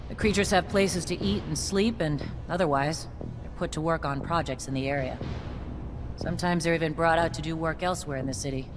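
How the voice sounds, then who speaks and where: A woman's voice: deep voice, brisk, noisy background, natural voice. A woman playing a tough, pragmatic army captain in a video game, matter-of-fact and authoritative. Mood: pragmatic briefing.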